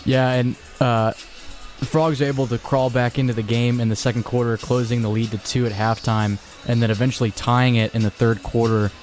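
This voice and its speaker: A man's voice: medium pitch, level tone, brisk, darkish tone, faint music behind. A young man hosting college radio, chatting between songs and running a postgame show. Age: young adult. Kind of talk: radio DJ patter and postgame talk.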